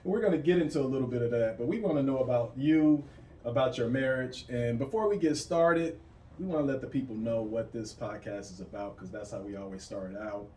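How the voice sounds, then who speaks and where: A man's voice: mid-range voice, fairly quick, darkish tone. Roomy-sounding man hosting a podcast. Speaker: podcast host. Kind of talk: casual podcast interview. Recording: roomy, distant mic, faint noise.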